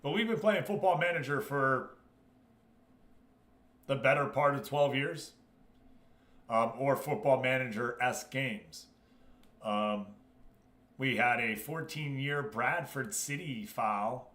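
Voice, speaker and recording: American guy streaming a game, mid pitched voice, chatty, jumps between talking to viewers and explaining stuff. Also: slow pace, long pauses, fairly bright tone. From United States. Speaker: live streamer and host. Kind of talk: casual livestream chat with game commentary.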